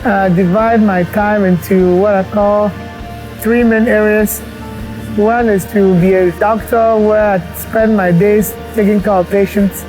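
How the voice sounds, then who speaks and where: A man in his forties from Cameroon, a doctor and cancer researcher, speaking earnestly and reflectively in a documentary interview, pausing as he thinks. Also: high voice, steady tone, darkish tone, faint music behind, rough recording. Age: 40s. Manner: earnest and reflective, with thoughtful pauses.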